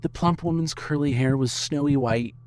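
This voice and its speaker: A man narrating a scripted audio drama as its storyteller, reading in a measured way. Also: fairly high pitch, darkish tone, slight background noise. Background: music.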